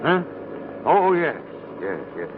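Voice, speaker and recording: Animated, genial, cagey older man. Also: on the high side, slow pace, narrow, tinny audio, background noise. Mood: genial, evasive. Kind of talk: 1940s radio drama acting. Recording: thin, band-limited old radio recording.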